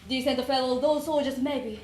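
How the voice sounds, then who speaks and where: A woman's voice: high-pitched, steady tone, a little fast, darkish tone. A woman performing in readers theater, telling a story from a letter with earnest, emphatic phrasing. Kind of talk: dramatic storytelling recitation of a letter. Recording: roomy echo, faint background noise.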